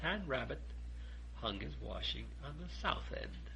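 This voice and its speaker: Casting: male children's audiobook reader, expressive storytelling, mid-pitched, lively range, creaky edge, faint noise behind. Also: a little slow.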